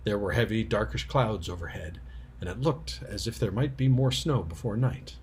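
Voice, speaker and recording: A man's voice: fairly low pitch, moderate pace, a little mellow, a little hiss or hum. A man narrating a storybook expressively as a storyteller.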